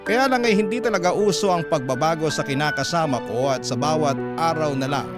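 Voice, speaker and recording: Filipino male storyteller over loud music. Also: on the high side, a little fast. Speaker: radio storyteller reading a listener's letter. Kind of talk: dramatic first-person scripted narration. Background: loud background music.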